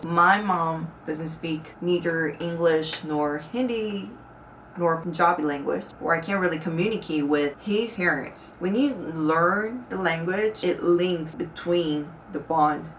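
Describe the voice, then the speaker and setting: A young Korean woman talks to her viewers in a casual, earnest way, her English carrying a Korean accent and a few halting turns of phrase. Her voice sits fairly low for a woman. She sounds a little far from the mic, with faint background hiss in the room. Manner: chatty, earnest, with hesitant second-language phrasing. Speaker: vlogger. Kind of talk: casual talk to camera. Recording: slightly distant mic with faint room noise.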